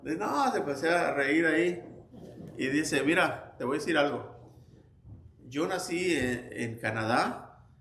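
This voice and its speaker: A man's voice: a little high, expressive, measured pace, pauses often, fairly bright tone, a little hiss or hum. A man teaching a church Sunday school class, telling anecdotes conversationally with frequent restarts. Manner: storytelling, conversational, with many restarts and quoted anecdotes. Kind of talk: informal Bible class teaching. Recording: echoey church room, faint ambience.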